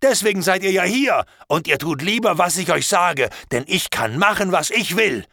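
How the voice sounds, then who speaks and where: An enraged young captor, as the narrator acts him out barks threats in a raised, loud voice. His pitch climbs above the narrator's normal tone. The sound is close and dry. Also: animated, normal speed, gravelly, no room sound, exaggerated voice. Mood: furious. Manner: shouting, threatening. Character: furious young male captor, menacing. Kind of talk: audiobook reading.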